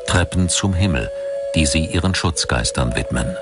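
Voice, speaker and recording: A man reading scripted documentary narration in a measured, dramatic storytelling manner. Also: a bass voice, expressive. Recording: music under the voice. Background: background music.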